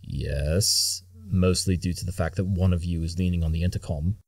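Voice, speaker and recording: A man playing an arrogant, brilliant cartoon pony detective who talks in a clipped, condescending, deliberate way. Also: sits low, fairly even intonation, darkish tone, no room sound, clean studio sound. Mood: calm, grave. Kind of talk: amateur cartoon audio drama acting.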